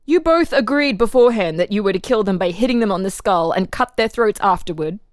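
A woman's voice: mid-range voice, expressive, a little fast, no echo, studio quality, lightly acted. A woman playing an official interrogator who puts formal, leading questions in a dramatic reading of a transcript. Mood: insistent, formal. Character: probing, insistent official interrogator questioning a murder suspect.

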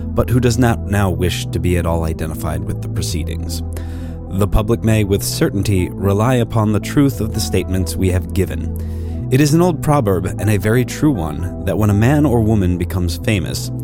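A man giving a formal, dramatic scripted narration in a storytelling manner. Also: on the low side. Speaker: actor reading as narrator. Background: music.

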